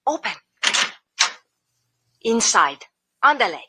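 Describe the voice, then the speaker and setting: A fiery, devoted woman of old radio drama with a knife and a Spanish accent. Her high voice swoops widely. She speaks slowly and deliberately, in clipped foreign phrases. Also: very animated, background noise. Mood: menacing. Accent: Spanish-accented English.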